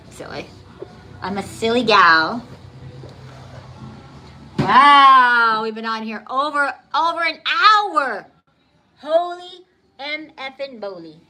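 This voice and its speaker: A woman's voice: a little high, expressive, slow, pauses often, bright, forceful tone. A woman with a New Jersey accent and a slight lisp, chatting casually on a livestream while she cooks and does dishes in her kitchen. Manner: chatty and upbeat, hums and sings along. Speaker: livestream host. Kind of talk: casual livestream chat while cooking and cleaning. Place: home kitchen with running water. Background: tap water running.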